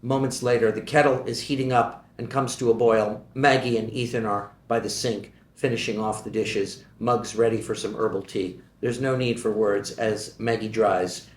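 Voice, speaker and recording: A man reading the stage directions of a screenplay at a table read in a steady, measured voice. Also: lowish voice. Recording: echoey room.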